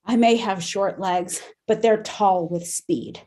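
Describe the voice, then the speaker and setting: A woman's voice: medium pitch, slow pace, a little mellow. A woman playing a dramatic, defiant little female cartoon dog with an intense, emphatic delivery at a comedy table read.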